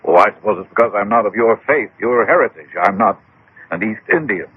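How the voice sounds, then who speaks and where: A man's voice: lowish voice, lively intonation, a little fast, husky. An actor playing an earnest, trusting middle-aged American businessman in a radio drama. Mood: grateful, earnest. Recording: dull, band-limited old radio broadcast.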